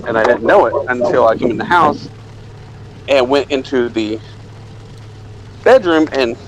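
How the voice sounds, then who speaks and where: A man's voice: medium pitch, lively intonation, unhurried, slight background noise, rough recording. A middle-aged man telling a rambling, self-deprecating anecdote in a casual way, acting out bits of dialogue. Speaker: storyteller.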